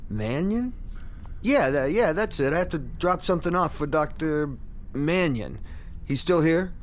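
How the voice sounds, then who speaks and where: An actor playing a nervous, evasive night visitor in a radio drama, hesitant and fumbling for words. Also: male voice, on the high side, animated, measured pace, a little hiss or hum.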